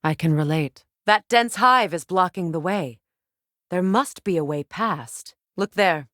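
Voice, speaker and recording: An actress playing a solemn, regal Norse goddess warrior in a video game, speaking in a measured, grave, formal manner. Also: female voice, on the low side, a bit bright, no room sound, studio quality. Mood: watchful, measured. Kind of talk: dramatic video game voice acting.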